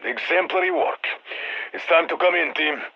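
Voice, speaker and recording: A man playing a gruff Russian squad commander in a video game, giving orders with dry, wry asides. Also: on the high side, big pitch swings, moderate pace, muffled recording. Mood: commanding, urgent. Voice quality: gravelly, husky. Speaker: mission commander giving radio briefings. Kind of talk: scripted video game voice lines. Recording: band-limited military radio sound.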